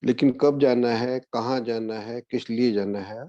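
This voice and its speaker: A man guiding a meditation as a spiritual discourse, speaking in a measured way with long pauses. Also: medium pitch, fairly even intonation, warm tone, no echo. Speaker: meditation guide. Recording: dull, lo-fi, dry audio.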